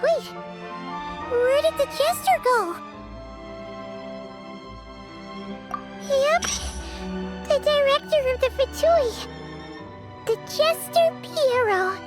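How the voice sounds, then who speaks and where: A tiny, sweet, childlike fairy companion in a video game cutscene. The voice is extremely high and squeaky, with slow, stretched, exclamatory delivery. Music plays faintly underneath. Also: female voice, animated, exaggerated voice. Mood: curious, startled. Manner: speaks of herself in the third person, exclamatory.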